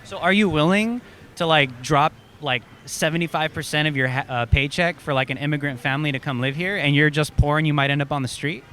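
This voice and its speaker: Man, confrontational street interviewer, slightly raised pitch, outdoors. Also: fairly quick, sharp tone, faint music behind. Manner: pushy, probing questions.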